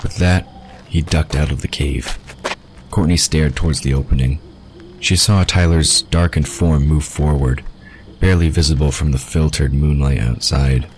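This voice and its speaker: Very deep-voiced male narrator. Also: fairly even intonation, normal speed, a little mellow, a little hiss or hum. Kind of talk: scripted fantasy audio drama narration. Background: ambient sound effects.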